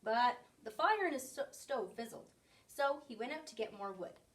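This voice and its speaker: Animated woman reading a picture book. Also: fairly high pitch, normal speed, far from the mic. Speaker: story reader. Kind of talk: expressive picture book read-aloud for children.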